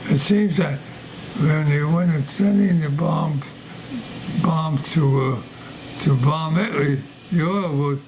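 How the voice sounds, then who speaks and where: Halting very old man, slow speech. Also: fairly high pitch, a little mellow, slight background noise, low-quality recording. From Poland. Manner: halting, repeats words and restarts often. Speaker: veteran interviewee. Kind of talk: oral history interview. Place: library interview room.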